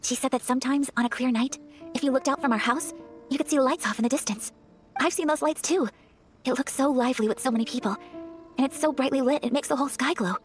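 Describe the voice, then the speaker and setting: Casting: actress for refined young game noblewoman, fairly high voice, polite, earnest, slightly hesitant, soft music underneath. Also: quick pace, natural voice. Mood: wistful. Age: young adult. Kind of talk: video game character acting. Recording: faint music behind the voice.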